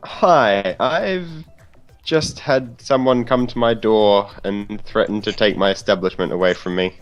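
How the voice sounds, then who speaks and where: A man's voice: lowish voice, a little slow. A man playing a tabletop game, talking casually and stating what his character says and does. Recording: dull lo-fi audio, faint music.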